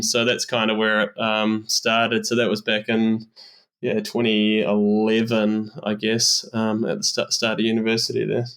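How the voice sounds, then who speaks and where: A man in his thirties with a New Zealand accent talks easygoing and unhurried, stopping and restarting his sentences often. His pitch stays fairly level and even throughout, with little rise or fall. He sounds like a guest speaking over a remote call. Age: 30s. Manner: easygoing, hesitant, many restarts. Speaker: guest athlete. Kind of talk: casual interview answers.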